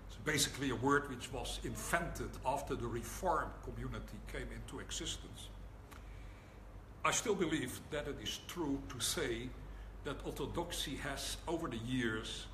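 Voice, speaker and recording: Older male lecturer, Dutch accent, dry wit, measured delivery, roomy hall with background noise. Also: mid-range voice. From Netherlands. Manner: wry, deliberate, pauses for laughs. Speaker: rabbi giving a lecture. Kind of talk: public lecture. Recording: echoey hall, audible room noise.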